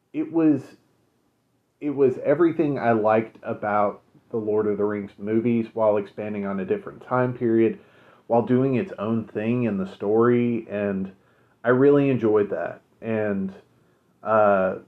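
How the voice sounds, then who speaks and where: A low-pitched man chats easily as a podcast host, pausing often with ums and uhs. His voice comes through a narrow, phone-like line. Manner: relaxed, many fillers, measured. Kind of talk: casual chat, recommending shows.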